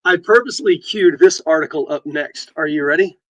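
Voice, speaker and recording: A man co-hosting a casual real estate talk show, reading headlines aloud and joking, with halting restarts. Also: no echo, rough recording. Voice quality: deep voice. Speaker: podcast host and realtor.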